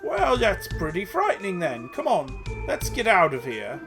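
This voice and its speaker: Brutish goblin guards, as a man acts them out jeer and holler loudly. His voice is lifted a little above its normal pitch. Faint music hums under them. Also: wildly swooping pitch, normal speed. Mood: mocking. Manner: loud jeering. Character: rowdy male goblin guards, mocking and brutish.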